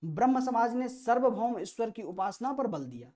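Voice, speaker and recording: A woman's voice: on the high side, expressive, normal speed, warm tone. A woman with an Indian accent teaching an exam-prep lesson, reading out questions and explaining answers with emphatic repetition of key phrases. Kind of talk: quiz-style history lesson, questions read out and explained.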